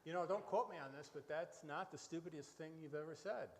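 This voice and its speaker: A man's voice: a little high, a little fast, big-room sound, crowd noise, lightly acted. A man playing a genial, dry-witted host of a live church radio show. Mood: dry, chatty. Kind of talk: live radio show hosting and scripted banter.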